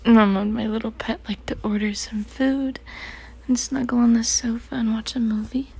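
A woman speaking in a teasing, commanding tone, mostly in a breathy whisper, for roleplay audio. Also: fairly high pitch, steady tone, moderate pace, darkish tone.

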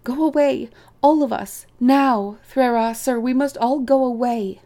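A small, jittery male rabbit from a storybook, acted out by a woman, pitched well up. He stammers and blurts in a fretful, miserable way, full of desperate urgency. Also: steady tone, unhurried, mellow. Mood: urgent alarm. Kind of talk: audiobook character voice.

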